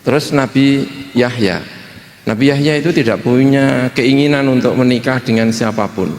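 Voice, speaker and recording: A man's voice: mid-range voice, normal speed, darkish tone. A middle-aged man giving an informal, joking religious talk as a cleric. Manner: self-deprecating, joking, conversational. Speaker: Islamic cleric (kiai). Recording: echoey hall with long reverb.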